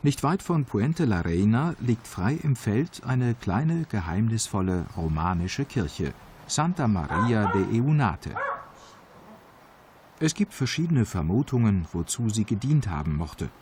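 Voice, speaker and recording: A man reading scripted travel documentary narration in a calm, measured, informative way. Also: on the low side, noisy background. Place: voice-over studio. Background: background music.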